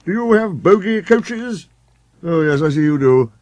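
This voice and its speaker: Man voicing a haughty, grumbling steam engine with swooping pitch. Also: expressive, measured pace, a little mellow, no echo. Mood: pompous. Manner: haughty, sulky, complaining. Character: pompous, grumbling, conceited male steam engine.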